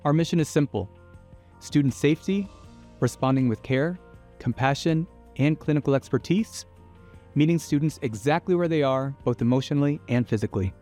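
A man giving a clear, direct scripted presentation about his team's mission. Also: fairly high pitch, normal speed, dark tone, soft background music. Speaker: team member.

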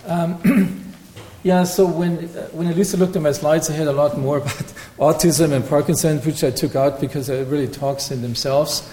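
A man giving an academic lecture and answering questions, pausing often with ums and restarts. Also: on the high side, steady tone, mellow, slight background noise, poor audio. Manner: hesitant, frequent ums and restarts. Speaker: lecturing researcher.

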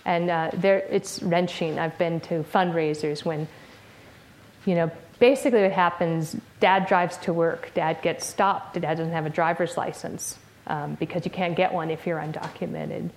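Lower-voiced woman lecturing casually. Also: fairly even intonation, normal speed. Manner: conversational, many fillers and restarts. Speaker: speaker giving a talk. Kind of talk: academic lecture with audience questions. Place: lecture room with audience.

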